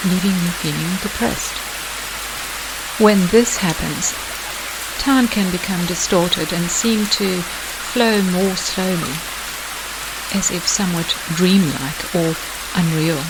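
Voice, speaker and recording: Hypnosis guide voice, mid pitch, measured n deliberate w pauses, hissy rain noise behind. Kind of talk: scripted guided hypnosis narration. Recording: hissy, clearly noisy background.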